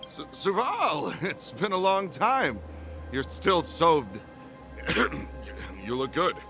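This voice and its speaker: A male security captain in a video game. He is formal and somewhat awkward, with slow delivery and very lively intonation. Music plays behind him. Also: on the high side, full character voice. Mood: flustered. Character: formal security captain, stern with men, awkward with old friend. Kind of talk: video game character acting.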